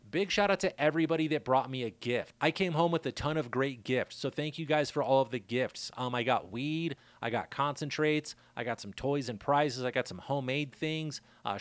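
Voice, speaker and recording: Laid-back man with a raspy throat. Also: mid-range voice, dry recording. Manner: laid-back, loose, chatty with shout-outs. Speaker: podcast host. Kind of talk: casual rambling monologue. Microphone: studio mic.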